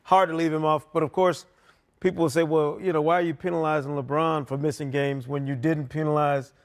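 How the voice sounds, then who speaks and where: A man's voice: fairly high pitch, level tone, a little fast. A man giving his opinions as a basketball analyst in a conversational studio debate.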